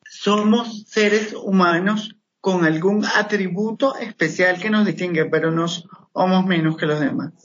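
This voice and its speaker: Measured man in his thirties, remote line. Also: high voice, steady tone, lo-fi sound. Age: 30s. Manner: measured, explains step by step. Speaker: guest, motivational speaker. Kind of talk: interview answers. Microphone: remote connection.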